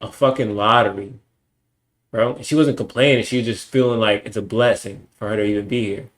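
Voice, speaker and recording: A man's voice: on the low side, fairly even intonation, fairly quick. A young man streaming alone, ranting bluntly and with lots of profanity in a casual monologue. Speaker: livestreamer.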